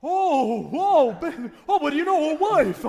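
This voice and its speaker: A man acts out a bumbling, cheerful uncle in his late fifties, very high-pitched and excitable. He rambles and exclaims in old radio-play style, recorded live in a reverberant hall. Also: a little slow, creaky, exaggerated voice. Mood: delighted. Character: bumbling, cheerful, boozy old uncle of fifty-five-plus. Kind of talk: 1940s radio play acting. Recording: echoey hall, live stage taping.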